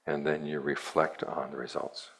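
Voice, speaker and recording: Very deep gravelly monk giving a dharma talk. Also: male voice, level tone, a bit bright. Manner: plainspoken, measured, dryly wry. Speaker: Buddhist monk teacher. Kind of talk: dharma talk to a retreat group.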